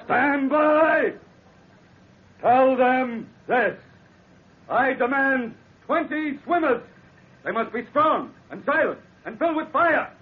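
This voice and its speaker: An actor playing an island interpreter who speaks broken, halting English, in an old radio drama. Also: male voice, very high voice, animated, heavily acted. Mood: commanding. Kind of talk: 1940s radio acting. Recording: tinny old radio broadcast.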